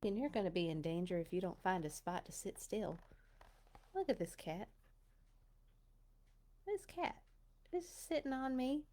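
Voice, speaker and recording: A woman reading a children's storybook aloud, dramatic and expressive, with little personal asides. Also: medium pitch, unhurried, pauses often. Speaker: storytime reader.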